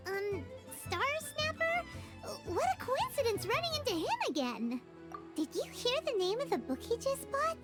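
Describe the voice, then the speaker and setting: Tiny chirpy fairy sidekick, very high, wildly bouncy, game cutscene. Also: female voice. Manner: bubbly, talks of herself in third person. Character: tiny chirpy floating fairy companion, girl. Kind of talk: video game cutscene dialogue. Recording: game soundtrack music behind.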